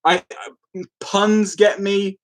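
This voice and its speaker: Male live streamer, pitched a little high, chatty and rambling, quick to giggle, informal delivery. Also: flat delivery. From London, England. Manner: rambling, easily amused, giggly. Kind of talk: casual chat, answering live comments.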